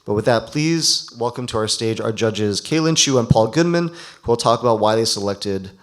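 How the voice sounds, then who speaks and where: Male event host, mid-pitched, informal announcing at a live ceremony, slightly halting with self-corrections. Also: lively intonation. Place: live event before an audience.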